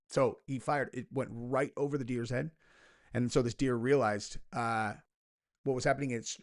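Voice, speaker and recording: A young man hosting a casual reaction video, rambling and sarcastic, with lots of "like" and "you know". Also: medium pitch. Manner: rambling, sarcastic, heavy filler words. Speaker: commentary video host.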